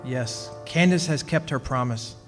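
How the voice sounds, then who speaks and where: A man's voice: medium pitch, a little slow, over loud music, natural voice. An actor playing an uneasy immortal man, telling his story in a confiding, conversational way in a radio drama revival. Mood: wry, matter-of-fact. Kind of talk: stage revival of 1940s radio drama narration.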